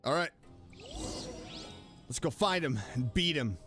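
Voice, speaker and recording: A man's voice: a little high, animated, unhurried. A man giving casual, playful VTuber gameplay commentary, exclaiming and repeating himself as he plays. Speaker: VTuber game streamer. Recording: game music playing behind. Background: video game music.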